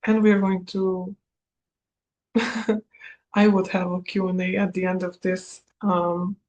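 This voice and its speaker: A woman in her thirties from Serbia, a native Hungarian speaker, giving a recorded spiritual coaching class in a measured manner. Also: lowish voice, flat delivery, a little mellow, muffled recording. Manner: slow, measured, with small laughs. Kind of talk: recorded masterclass talk.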